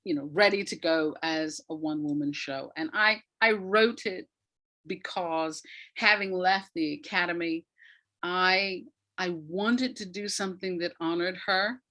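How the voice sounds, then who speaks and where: An older woman speaking reflectively as an interview guest, in a measured, deliberate way with emphasis on key words. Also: on the low side, sharp tone. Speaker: guest, playwright and retired academic.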